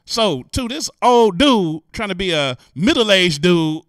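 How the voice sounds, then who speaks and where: A man hosting a show, giving animated, incredulous, joking and slangy commentary on the news. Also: high-pitched, slow, background noise. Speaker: host. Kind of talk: comic commentary on news stories.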